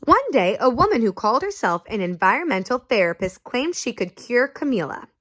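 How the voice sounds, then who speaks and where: A woman reading a children's picture book aloud, pausing to ask her young listeners questions. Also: a little high. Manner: slow and expressive, asks listeners questions. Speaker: storyteller.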